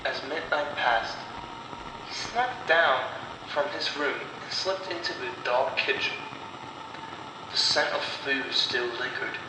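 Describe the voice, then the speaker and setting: Echoey, slow-paced man narrating horror. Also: mid-range voice. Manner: slow, deliberate delivery with dramatic pauses. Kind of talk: scripted horror story narration. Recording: echoey, distant voice with clear noise.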